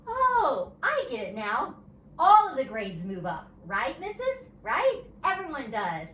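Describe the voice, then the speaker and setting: Woman playing a feisty, high-pitched little kindergarten girl. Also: wildly swooping pitch, slow, bright, forceful tone, heavily acted. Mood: excited. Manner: childish grammar, emphatic, indignant. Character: feisty, dramatic five-year-old kindergarten girl telling her story. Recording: roomy, distant mic, faint noise.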